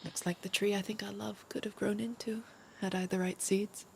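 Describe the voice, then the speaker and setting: A woman's voice: medium pitch, level tone, normal speed, gravelly, a little mellow, a little hiss or hum, close to an everyday voice. An actress playing a sentimental, heartbroken woman clinging to her lover in an audio drama. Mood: mournful, reflective. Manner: emotional, pleading, echoing the other's words.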